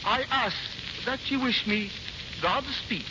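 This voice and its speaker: Smooth envoy, unusually high pitch, formal and measured, 1940s radio play with heavy hiss. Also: male voice. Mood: solemn, courtly. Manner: formal, ceremonious, measured oratory. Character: smooth, solemn Japanese envoy proposing toasts of peace. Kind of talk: 1940s radio drama acting. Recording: noisy, muffled 1940s radio recording.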